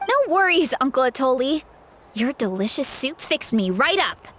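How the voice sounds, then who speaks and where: A woman's voice: sits high, big pitch swings, moderate pace, a bit bright, slight background noise. A woman playing a sweet, easygoing young athlete in a video game, speaking in a bubbly, earnest way. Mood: apologetic cheerful. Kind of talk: animated game dialogue acting.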